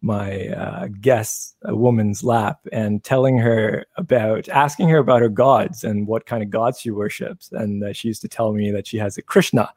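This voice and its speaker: A man's voice: fairly low pitch, fairly even intonation, a little mellow, dry recording. Thoughtful male interview guest. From Pakistan. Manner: thoughtful, measured, many fillers. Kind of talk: casual interview storytelling.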